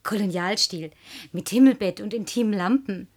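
A woman's voice: mid-range voice, normal speed, darkish tone, no echo, close to an everyday voice. An actress dubbing a lonely young woman who fussily orders her male guest around. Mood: fussy, bossy. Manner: prim, commanding, teasing. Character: lonely young woman, bossy fussy hostess. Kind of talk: German film dub.

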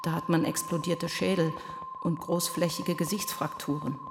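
A woman's voice: on the low side, normal speed, natural voice. A woman playing a numb police investigator who gives testimony in a radio play, matter-of-fact and detached. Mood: clinical, detached. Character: numb, clinical police investigator reciting facts. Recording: reverberant hall with steady hiss.

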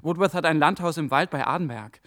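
A man's voice: on the high side, fairly quick, a bit bright, lightly acted. A man playing an eager, urgent young man in a German radio drama. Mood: urgent, explaining. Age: young adult. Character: excitable, ambitious young German man piecing clues together.

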